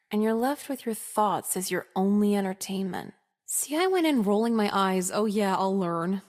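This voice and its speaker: A young woman with an American accent playing a cynical, self-absorbed high-school girl in a visual novel, talking in a casual, slangy, sardonic way. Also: natural voice. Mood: sardonic, reflective. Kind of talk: visual novel voice acting, first-person monologue.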